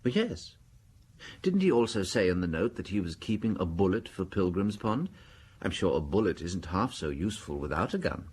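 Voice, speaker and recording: An actor playing a mild, shrewd English priest detective in a radio drama, speaking gently and hesitantly with quiet probing. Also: male voice, deep voice, big pitch swings, normal speed, slightly rough, heavily acted.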